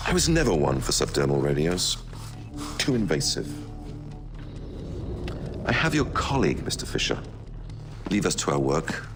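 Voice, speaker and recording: An actor playing a calm, menacing male terrorist leader in a video game, with a measured, commanding and coldly threatening delivery. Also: rumbling low, vocal fry, full character voice. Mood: controlled threat. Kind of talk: cinematic video game acting. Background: loud dramatic music.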